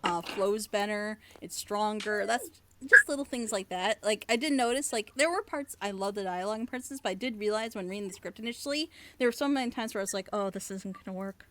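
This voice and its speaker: A woman chatting casually on a reaction panel, giving feedback in an animated, rambling, gushing way. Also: medium pitch, a little fast, slight background noise. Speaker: reviewer and script editor on a reaction panel.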